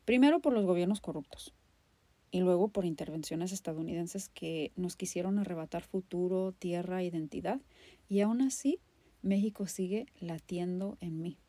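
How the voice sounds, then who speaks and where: Mexican lady doing a passionate poetic podcast monologue, lowish dark voice, really dry sound. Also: normal speed, dry recording. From Guadalajara, Mexico. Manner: impassioned, emphatic, with dramatic repetition. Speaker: podcast host. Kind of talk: personal spoken monologue, poetic storytelling.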